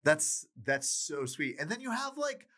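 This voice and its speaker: Animated, higher-voiced man interviewing casually. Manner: slangy, enthusiastic, halting with many likes. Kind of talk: casual chat.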